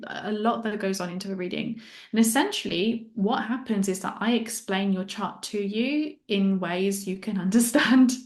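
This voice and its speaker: Woman in a roomy echo. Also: medium pitch. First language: Italian. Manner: enthusiastic, explanatory, uses analogies. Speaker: human design guide, guest. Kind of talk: interview answers, explaining.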